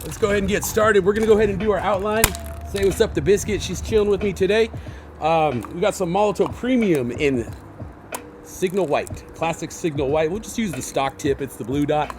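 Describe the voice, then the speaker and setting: A man hosting a graffiti how-to, his voice hoarse, chatting casually and rambling in slang while he paints outdoors. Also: high voice, a little fast, noisy background. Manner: laid-back, rambling, slangy. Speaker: graffiti artist and channel host. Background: hip hop backing beats.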